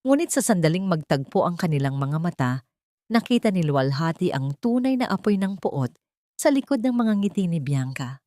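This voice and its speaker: A woman's voice: fairly low pitch, sing-song, normal speed, dark tone, no echo, studio quality. A woman reading scripted, dramatic narration for a romance audio story.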